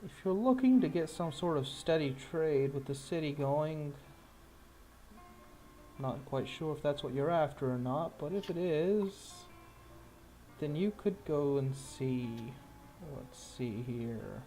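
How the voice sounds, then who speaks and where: Fantasy town official, acted by a man: measured, pondering, slow delivery, slightly high male pitch. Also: expressive, dark tone, faint music behind. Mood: helpful, measured. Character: unhurried, hesitant male town trade official.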